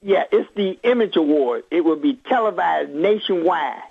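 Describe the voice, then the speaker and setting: Husky, high-voiced man on a phone line. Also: animated, normal speed. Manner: emphatic, repeats phrases for force. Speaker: reverend and activist, radio phone-in guest. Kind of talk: impassioned political interview talk. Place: on a phone line to a radio show. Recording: muffled, phone-like radio call-in line.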